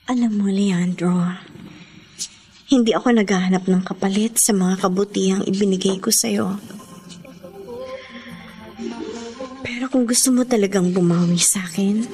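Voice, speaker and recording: A woman's voice: mid-range voice, steady tone, moderate pace, dark tone, soft background music, natural voice. A Filipina actress playing a devoted woman caring for a sick beloved friend in radio melodrama, earnest, pleading and emotional. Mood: tender, encouraging.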